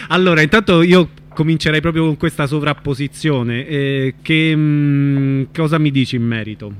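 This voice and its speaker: Higher-pitched male radio host. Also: slow pace. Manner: informal, friendly, frequent backchannel agreement. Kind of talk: conversational radio interview.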